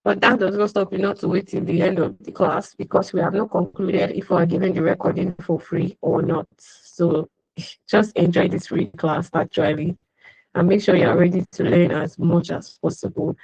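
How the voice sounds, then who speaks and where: A woman's voice: fairly low pitch, level tone, moderate pace, creaky, darkish tone, slightly rough, dry recording. A woman hosting an online class over a video call, chatty and welcoming, greeting attendees by name. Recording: muffled dry video call audio.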